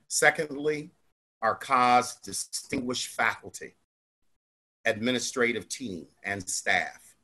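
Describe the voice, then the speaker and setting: Low husky-voiced principal, formal. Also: male voice, sharp tone. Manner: formal, measured. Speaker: school principal. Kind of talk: formal welcome speech. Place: home office. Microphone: webcam.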